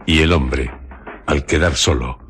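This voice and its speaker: A man narrating a radio drama in a scripted literary style, delivering it in measured, separate phrases. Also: very deep voice, a bit bright, a little hiss or hum.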